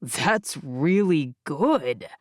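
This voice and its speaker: A woman's voice: deep voice, animated, drawn-out words, dry recording, studio quality, voice pushed down, full character voice. Laid-back, teasing young man, voiced by a woman. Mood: casual, encouraging. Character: teasing, easygoing young man, a foster brother and actor. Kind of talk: audiobook character dialogue.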